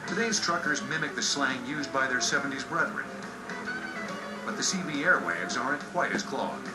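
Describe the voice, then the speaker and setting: American man, scripted documentary narrator, mid-pitched, playful slangy flourishes, prominent music bed. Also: lively intonation, normal speed. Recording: roomy sound under loud music bed.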